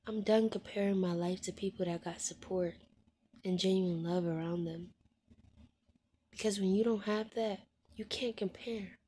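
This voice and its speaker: A woman in her twenties speaking candidly to her viewers, frank and heartfelt, often dropping to a soft hushed voice and swearing bluntly. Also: mid-range voice, steady tone, moderate pace, a little hiss or hum. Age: 20s. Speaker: vlogger. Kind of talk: candid personal monologue to viewers.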